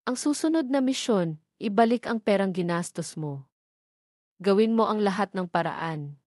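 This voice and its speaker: A woman's voice: medium pitch, lively intonation, normal speed, darkish tone, dry recording, studio quality, close to an everyday voice. Woman reading as cold, commanding rich lady boss. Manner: terse, unemotional, commanding.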